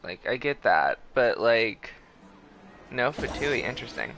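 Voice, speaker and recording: A man's voice: mid-range voice, lively intonation, measured pace, fairly bright tone, slight background noise. A man live-streaming a game, chatting casually in a rambling, bemused way. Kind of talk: casual live-stream chat over gameplay.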